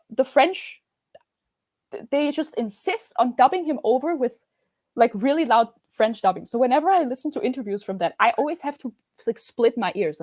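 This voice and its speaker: Austrian woman guest, lively and high, quick to laugh, dry video-call sound. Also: dry recording. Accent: Austrian German. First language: German. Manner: bubbly, laughs often, many restarts and fillers. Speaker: podcast guest. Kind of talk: casual podcast chat over video call.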